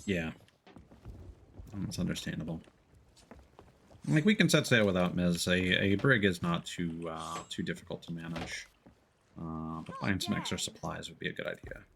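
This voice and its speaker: A man's voice: low voice, normal speed, creaky, long pauses, fairly bright tone, slight background noise. A man hosting a casual gaming livestream, rambling and thinking aloud as he plays. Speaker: livestream host playing a game. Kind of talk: casual gaming stream chat.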